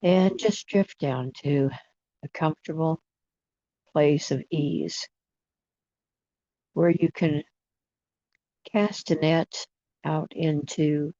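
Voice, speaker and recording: Slow, mellow guided meditation voice with gravelly creak. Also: medium pitch, no room sound. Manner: slow, soothing, with long pauses. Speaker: guided imagery facilitator. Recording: dull, dry lo-fi recording.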